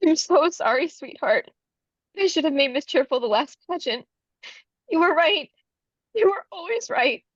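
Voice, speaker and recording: A woman's voice: high-pitched, animated, moderate pace, pauses often, no echo, muffled recording. A woman playing a frightened but defiant young beauty pageant contestant, urgent and emotional, in a screenplay table read. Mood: grieving.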